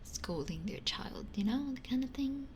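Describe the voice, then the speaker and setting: A woman whispering softly and unhurriedly in casual ASMR chat, musing in short phrases close to a binaural microphone. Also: high-pitched, lively intonation, normal speed. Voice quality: breathy whisper. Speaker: ASMR livestreamer. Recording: close-miked with faint background noise.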